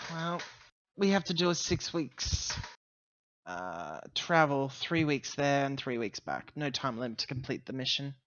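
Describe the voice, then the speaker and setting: A man playing a roleplaying game, talking casually in character with halting, self-correcting explanations. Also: mid-range voice, animated, fairly bright tone, no room sound, dull audio. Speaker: tabletop roleplaying player.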